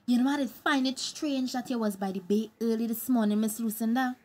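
Actress as a high-pitched, defiant Tobagonian daughter. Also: female voice, steady tone, a little fast, a little mellow, natural voice. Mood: insulting, angry. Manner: cheeky, confrontational. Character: defiant, insolent grown daughter, young village woman. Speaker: actor in radio drama. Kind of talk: radio drama acting.

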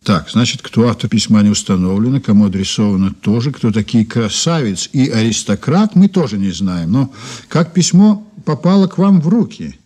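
An actor playing a sceptical investigator in a radio drama, with a measured, ironic, questioning manner. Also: male voice, medium pitch, animated, warm tone, lightly acted. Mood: wry, probing. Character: Leonid Borisovich, sceptical male investigator, probing and wry. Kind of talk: Soviet-era radio drama acting.

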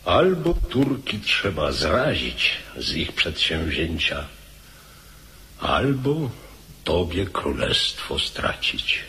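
A man's voice: sits low, wildly swooping pitch, slow, sharp tone, a little hiss or hum, heavily acted. An actor playing a grave, commanding statesman-general in a radio drama, solemn and oratorical. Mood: solemn, urging.

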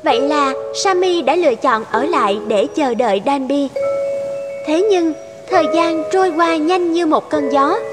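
A woman tells a fairy tale as a scripted narrator. Her voice is high, and music plays clearly behind her. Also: normal speed.

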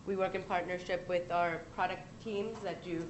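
A woman's voice: fairly low pitch, fairly even intonation. American woman presenting in an echoey hall. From United States. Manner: chatty, quick asides and self-corrections. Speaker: tech workshop presenter. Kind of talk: live tutorial presentation. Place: large conference room. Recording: echoey conference room with background noise.

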